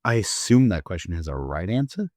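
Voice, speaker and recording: A man voicing a guarded, cautious young newcomer in an audio drama, speaking in a measured way. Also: sits low, very animated, a little mellow, dry recording, clean studio sound, pitched below the performer's own voice. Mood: wary.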